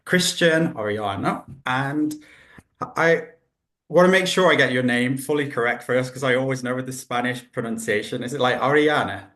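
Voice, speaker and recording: Male podcast host, mid pitch, upbeat and encouraging, roomy echo on the mic. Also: normal speed, a bit bright. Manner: upbeat, enthusiastic, uses "delve" and "yeah". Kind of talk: casual interview hosting. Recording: echoey room.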